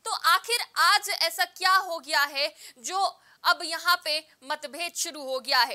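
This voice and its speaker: A woman's voice: very high voice, sharp tone. A young woman anchoring a TV news debate, putting questions with emphatic repetition. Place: TV news studio.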